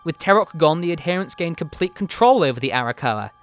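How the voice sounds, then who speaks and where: A man reading a fantasy story aloud as narrator, with dramatic, deliberate phrasing. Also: fairly high pitch, animated. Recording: music behind the voice. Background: background music.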